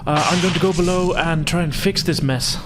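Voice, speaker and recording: A man's voice: fairly high pitch, a little fast, background noise, pitched above the performer's own voice, close to an everyday voice. A man voicing a timid, fretful alien freighter pilot who stammers hesitantly in a tabletop roleplaying game. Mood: alarmed.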